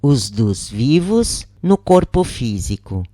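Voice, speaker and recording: A narrator reading a scripted first-person account in measured, deliberate phrases with pauses. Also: medium pitch, very animated, warm tone, no echo, studio quality.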